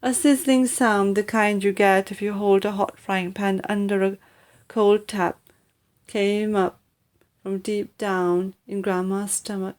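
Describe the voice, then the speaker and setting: A woman reads a children's story as an audiobook narrator. Her pace is very unhurried and her pitch sits in the middle, with deliberate, dramatic phrasing. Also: steady tone, a little mellow. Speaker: audiobook reader. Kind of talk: expressive scripted storybook narration.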